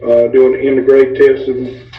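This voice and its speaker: A man's voice: mid-range voice, monotone, normal speed. A man chairing a board meeting with procedural, slightly hesitant, formal talk. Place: indoor meeting room. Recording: echoey room, distant, dull sound.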